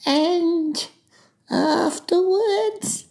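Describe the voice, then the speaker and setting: A man's voice: very high-pitched, very slow, creaky, a little mellow, pitched above the performer's own voice, full character voice. A man voicing a very small, timid piglet, hesitant and worried, in a dramatized storybook. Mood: anxious, hesitant.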